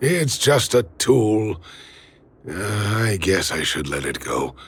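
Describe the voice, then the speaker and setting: An actor voicing a weary, gentle old miner and father in a video game, hesitant and sighing, with a gravelly, husky voice. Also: low voice, full character voice. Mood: worried, resigned. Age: older.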